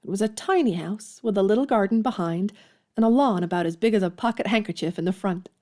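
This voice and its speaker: A woman's voice: medium pitch, quick pace, darkish tone. A woman giving scripted literary narration for an audio drama.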